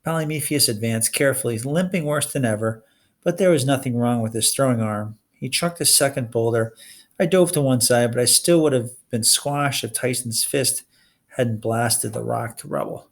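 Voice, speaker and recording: A man reading a children's fantasy novel aloud in expressive audiobook-style narration. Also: medium pitch, moderate pace. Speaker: reader of a children's fantasy novel read-along.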